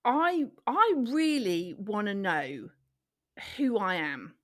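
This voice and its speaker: A woman in her late forties co-hosting a casual chat podcast, talking in a reflective, halting way. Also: medium pitch, very animated, fairly bright tone. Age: 40s. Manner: reflective, halting, restarts sentences. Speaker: podcast co-host.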